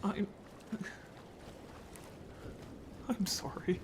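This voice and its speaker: A man's voice: moderate pace, noisy background, close to an everyday voice. A man playing a guilt-ridden, anxious young merman in a fantasy audio drama, speaking hesitantly and emotionally. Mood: guilty, distressed. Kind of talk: scripted audio drama acting.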